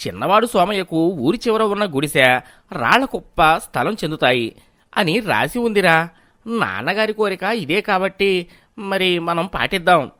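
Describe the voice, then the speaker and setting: A man's voice: sits high, animated, moderate pace, bright, forceful tone, dry recording, studio quality. A man playing an elder brother and henpecked husband in a moral story, talking in a placating way. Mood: falsely solemn.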